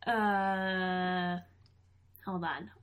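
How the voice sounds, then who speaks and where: A woman's voice: on the low side, steady tone, extremely slow, smooth. A woman co-hosting a podcast in casual chat, offhand and easygoing. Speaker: podcast co-host.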